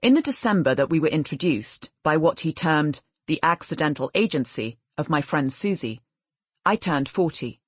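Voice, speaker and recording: Female audiobook narrator, low-pitched and husky, measured literary read with expressive delivery. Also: no echo, clean studio sound.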